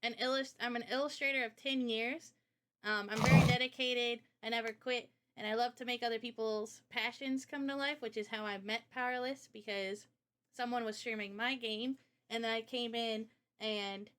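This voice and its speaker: A woman's voice: a little high, a bit bright, slight background noise. A young woman presenting casually on a livestream, chatty, informal and enthusiastic, like a developer showing off a project.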